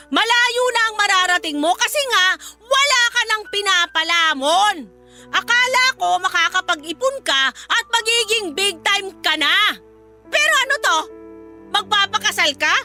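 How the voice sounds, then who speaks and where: A woman's voice: extremely high pitch, pushed and bright, exaggerated voice. A woman playing a bitter, scornful middle-aged mother in a Filipino radio drama, speaking in a harsh, accusing way. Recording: music behind the voice.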